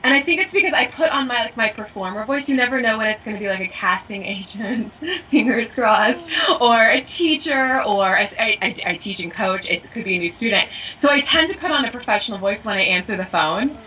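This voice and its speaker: A woman's voice: a little high, expressive, fairly quick, pushed and bright, distant mic, lo-fi sound, a little hiss or hum. A woman co-hosting a podcast, a voiceover actor and acting coach who chats and laughs often. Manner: laughs often, bubbly and chatty. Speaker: podcast co-host. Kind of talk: casual chat.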